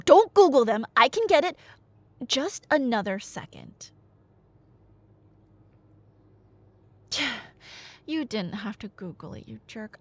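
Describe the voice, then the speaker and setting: A woman's voice: high-pitched, no room sound. A woman playing a nerdy, teasing girlfriend in an ASMR roleplay, rambling through Greek myths with dramatic narration and casual sarcastic asides. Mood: flustered, teasing. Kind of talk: ASMR roleplay storytelling to a listener.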